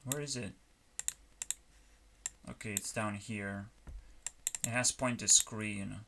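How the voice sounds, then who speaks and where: Guy rambling on stream, real slow n halting, musing to himself, bit of noise and keyboard clicking behind. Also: fairly low pitch, fairly even intonation. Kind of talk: rambling casual chat while coding.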